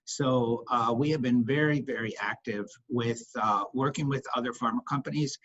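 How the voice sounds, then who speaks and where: A husky man speaking as a company executive, answering questions on an investor call in a halting way, repeating words for emphasis. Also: mid-range voice, a bit bright. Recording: dull, lo-fi conference call audio.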